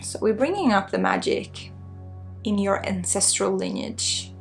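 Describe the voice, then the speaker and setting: A woman with a Swedish accent giving unscripted spiritual guidance in an ASMR healing session, earnest and encouraging, with halting phrasing. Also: mid-range voice, expressive, gravelly, faint music behind. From Sweden. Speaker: spiritual guide and energy healer.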